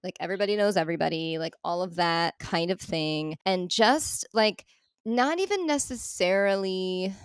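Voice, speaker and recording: A woman's voice: lowish voice, normal speed, clean tone, no room sound. A young woman from Ohio, a podcast guest talking in a reflective, rambling way with many fillers. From Ohio, USA. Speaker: interview guest. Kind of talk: casual interview chat.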